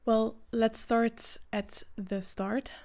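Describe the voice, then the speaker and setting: A woman's voice: on the high side, level tone, drawn-out words, a little mellow. A woman in her twenties from Belgium, a native Dutch speaker with a Belgian Dutch accent, telling her own story to camera in an earnest, easygoing way. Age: 20s. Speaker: vlogger.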